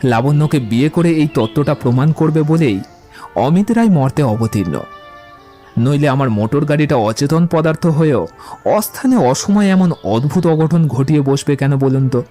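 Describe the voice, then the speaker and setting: A man's voice: a little high, animated, moderate pace, dark tone, close to an everyday voice. Guy playing a playful wordy young romantic gent, theatrical, bit of music under it. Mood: playfully earnest. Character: Amit, witty, romantic, eloquent young gentleman. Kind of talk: audio drama acting. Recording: music behind the voice.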